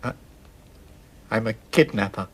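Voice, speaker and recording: A man playing a gentle, timid faun in a radio theatre storybook drama, fretful and self-reproachful, with halting, theatrical delivery. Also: lowish voice. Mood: guilty confession.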